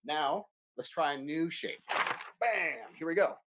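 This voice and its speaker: A man's voice: fairly high pitch, animated, a little slow, fairly bright tone, a little hiss or hum. A man teaching a remote music lesson to young children, upbeat and encouraging, singing short demo notes.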